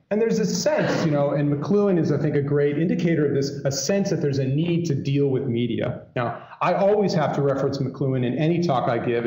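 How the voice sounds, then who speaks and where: Male keynote speaker, mellow voice, conversational and digressive, recorded in an echoey hall. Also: fairly high pitch. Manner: conversational, digressive, wry asides. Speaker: keynote speaker, academic lecturer. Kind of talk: conference lecture to an audience. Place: indoor lecture hall. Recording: echoey room, dull muffled sound.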